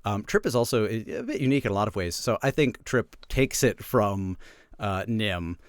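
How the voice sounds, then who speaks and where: A man's voice: fairly low pitch, expressive, normal speed, no echo, studio quality. A man playing a tabletop roleplaying game in character, hesitant and stammering, with fussy, formal, wordy phrasing. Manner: hesitant, stammering, repeats words.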